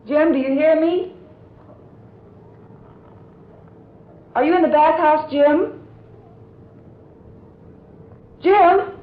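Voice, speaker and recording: An actress playing a distraught, headstrong young niece with earnest, dramatic delivery in an old radio melodrama. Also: female voice, sits high. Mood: horrified anguish. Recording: muffled old radio recording, faint hiss.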